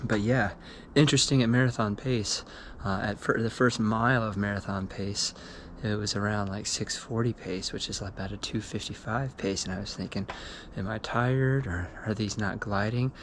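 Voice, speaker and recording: A man in his thirties, his voice going hoarse, talks in a loose, low-key way as he works through his thoughts on a run. He is recorded on a small action camera outdoors. Loud street sounds and traffic sit behind him. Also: fairly even intonation, normal speed, hardly any pauses. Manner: low-key, thinking aloud. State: losing his voice. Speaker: running shoe reviewer. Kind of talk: casual vlog commentary. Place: outdoors on a porch and dark streets.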